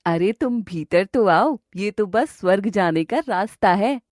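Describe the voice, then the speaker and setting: A woman voicing a sly fairy in an animated moral story, coaxing and composed. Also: medium pitch, a little slow, no room sound, clean studio sound, close to an everyday voice. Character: sly fairy sweetly luring forest animals.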